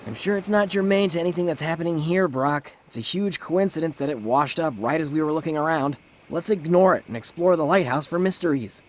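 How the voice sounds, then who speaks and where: A man's voice: on the high side, brisk, hardly any pauses, voice pushed up, close to an everyday voice. A man voicing an earnest, eager boy detective in a comic adventure story. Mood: matter-of-fact.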